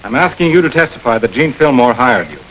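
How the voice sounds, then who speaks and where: An actor playing a calm, hard-nosed male crime investigator who presses suspects steadily, in 1940s radio acting style. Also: mid-range voice, fast talker, slight background noise, lightly acted, low-quality recording. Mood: cool. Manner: steady, coolly persuasive.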